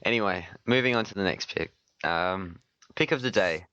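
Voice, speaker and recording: A man co-hosting a casual podcast chat, sick and partly hoarse, talking with hesitant, stammering restarts. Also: sits low, moderate pace, pushed and bright, dull audio. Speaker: podcast co-host.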